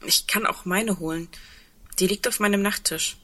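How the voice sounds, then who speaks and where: A woman's voice: medium pitch, normal speed, fairly bright tone, natural voice. A woman acting in a German radio play as an earnest, insistent woman who clings to hope, speaking intimately and pressing with questions. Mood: impatient, challenging.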